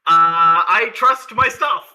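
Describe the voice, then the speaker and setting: A woman's voice: on the low side, slow, pushed and bright, faint music behind. A woman playing a tabletop game, improvising in character with repetitive, exasperated interjections.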